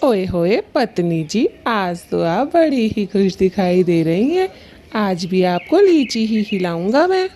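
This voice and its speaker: A woman voicing a doting, lazy male thief bird in a children's cartoon, cajoling and drawn-out. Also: medium pitch, very animated, mellow, a little hiss or hum. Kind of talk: children's cartoon story acting.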